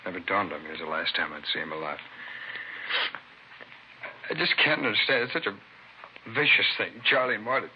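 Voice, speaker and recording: A dull old radio recording with faint hiss holds a man acting a distraught witness. He pleads haltingly and emotionally in a slightly low voice, in 1950s drama style. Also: natural voice. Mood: grieving, halting. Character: distraught, pleading male parking-lot attendant witness.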